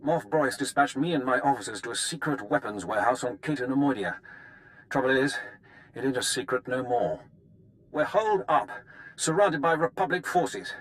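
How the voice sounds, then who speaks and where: An actor playing a gruff, defiant military major in a video game, with a plain-spoken, folksy manner. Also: male voice, mid-range voice, lively intonation, normal speed, fairly bright tone, natural voice, rough recording. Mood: wry, strained. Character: gruff, blunt, defiant male army major under siege.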